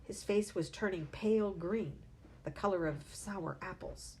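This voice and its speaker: A woman reading a children's book aloud with expressive storytelling. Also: mid-range voice, unhurried, rough recording.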